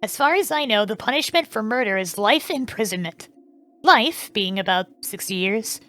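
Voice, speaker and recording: A woman's voice: medium pitch, animated, normal speed, a bit bright, natural voice. A woman in a fan dub voicing a young queen who is cautious, curious and well-meaning, speaking hesitantly and questioningly. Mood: concerned, reasoning.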